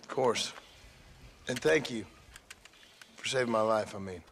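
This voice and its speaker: A man's voice: on the low side, unhurried, fairly bright tone, a little hiss or hum. A man voicing a terse, wounded gunslinger, dry and polite, guarded in his words. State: recovering from a gunshot. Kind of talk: video game cutscene dialogue.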